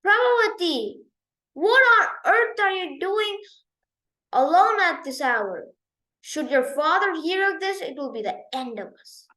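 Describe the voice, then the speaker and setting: A woman acts out an old hunchbacked royal nurse from a storybook, boastful and excitable. Her speech is theatrical and swoops widely in a bright, high voice, heard in an echoey room. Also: a little slow, exaggerated voice. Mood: scolding, alarmed. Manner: exclaiming, theatrical. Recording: roomy, echoey sound.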